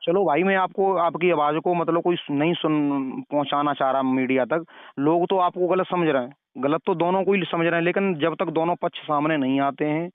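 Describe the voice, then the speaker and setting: Man, clear resonant voice, moralizing phone caller who repeats himself to make points; dry, telephone-grade sound. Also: a little high, normal speed, no echo. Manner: moralizing, preachy, repeats phrases. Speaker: caller questioning and advising the other party. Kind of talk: phone conversation. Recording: narrow tinny phone-call recording.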